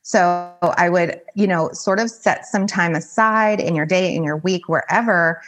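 Woman podcast guest, life coach type, voice a touch low, informal conversational advice. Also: measured pace, a bit bright. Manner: informal, heavy use of "like".

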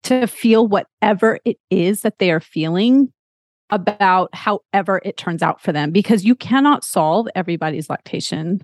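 A woman's voice: mid-range voice, normal speed, no room sound. Earnest woman in her forties, dry sound. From Texas. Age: 40s. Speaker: lactation counselor guest. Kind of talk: podcast interview, personal storytelling.

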